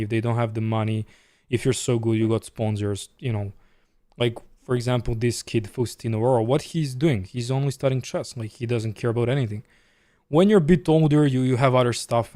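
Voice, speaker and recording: A man with a Serbian accent streams a chess game live and talks casually as he plays. He reads viewers' questions aloud and answers them in a loose, chatty way. His voice sits at a middling pitch and sounds dry and close to the mic. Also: a little mellow, dry recording.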